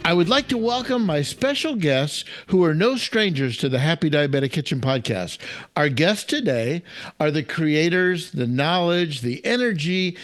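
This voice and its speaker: A man's voice: a little high, no echo, clean studio sound. A man hosting a podcast with an enthusiastic, emphatic delivery, as if reading an upbeat script. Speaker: podcast host, chef.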